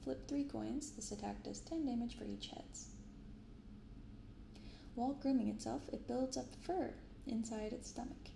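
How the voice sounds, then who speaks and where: A woman in her twenties speaking softly and gently in a casual, unhurried ASMR style as she hosts an unboxing. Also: on the high side. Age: 20s. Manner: soft-spoken, gentle, unhurried. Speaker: host of a card-opening ASMR channel. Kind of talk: soft-spoken ASMR, casual unboxing chat. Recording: roomy binaural recording with clear background noise.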